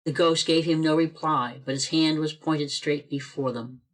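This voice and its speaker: A man narrating an amateur radio play in a steady storytelling manner, recorded over a video call. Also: on the high side, normal speed. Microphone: home video call mic.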